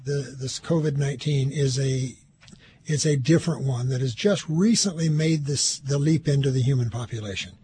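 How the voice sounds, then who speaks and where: A man speaking as a health expert on a call-in show, explaining medical points in a measured, conversational way. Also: mid-range voice, warm tone, a little hiss or hum.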